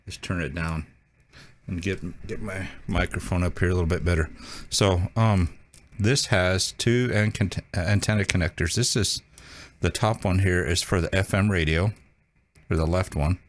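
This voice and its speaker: A man hosting a casual hands-on tutorial, talking informally and haltingly as he thinks aloud. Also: low voice, fairly even intonation. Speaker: video host demonstrating a radio.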